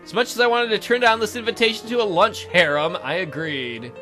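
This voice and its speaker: A man's voice: high voice, steady tone, a little fast, bright, forceful tone, faint music behind. A young man hosting a let's play, reading a visual novel aloud and adding playful, joking commentary.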